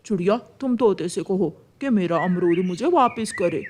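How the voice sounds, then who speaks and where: A man's voice: sits high, expressive, moderate pace, slight background noise. A man voicing an aggrieved male pigeon in a cartoon, grumbling and tattling.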